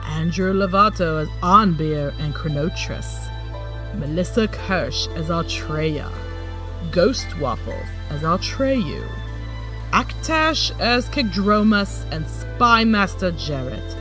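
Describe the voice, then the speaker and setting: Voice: mid-range voice, very animated, takes it slowly, soft background music. An announcer reading scripted credits for an audio drama.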